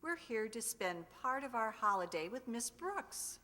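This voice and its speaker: A woman's voice: a little high, big pitch swings, measured pace, pushed and bright, exaggerated voice. A woman acting in a radio sitcom as a fussy, matchmaking middle-aged wife, insistent and chiding. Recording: echoey hall, long reverb.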